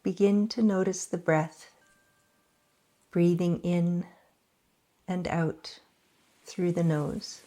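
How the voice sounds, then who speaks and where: Calm woman leading yoga nidra, low-pitched and unhurried, gentle pauses. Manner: slow, soft, soothing delivery with long pauses. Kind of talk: guided meditation.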